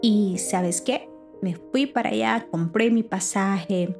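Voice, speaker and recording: A woman who preaches, with Spanish as her first language, telling a personal story in a lively, halting way with many restarts. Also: mid-range voice, creaky, faint music behind. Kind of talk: personal testimony, casual talk.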